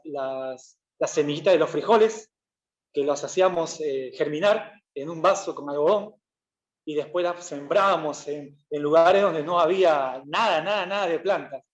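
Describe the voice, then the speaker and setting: A pastor gives a loose, conversational sermon, stopping to correct himself and filling gaps with "eh". His voice sits a little high for a man. It is caught in a roomy church with a slightly dull, muffled sound. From Peru. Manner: relaxed, chatty, frequent "eh" fillers and self-corrections. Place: church hall.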